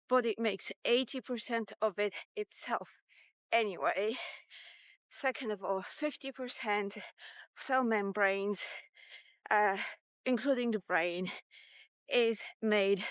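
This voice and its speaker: A woman in her fifties speaks as a casual vlogger, candid and inclined to ramble. Her voice is smooth and clear, and she takes her time between phrases. The sound is very dry, with no room echo. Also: mid-range voice, a bit bright. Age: 50s. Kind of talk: casual walk-and-talk vlog.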